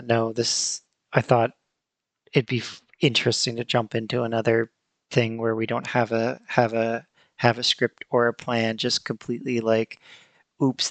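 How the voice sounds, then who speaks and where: A man hosting a podcast in casual chat, speaking thoughtfully and hesitantly with many pauses and fillers. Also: mid-range voice, fairly bright tone, no room sound. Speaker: podcast host.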